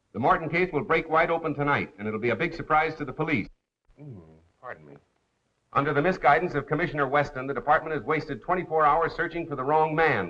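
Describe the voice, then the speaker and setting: A man playing a confident, wisecracking crime columnist in a brisk, polished 1930s film acting style. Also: on the high side, natural voice. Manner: brisk, self-assured. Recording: dull lo-fi 1930s film soundtrack.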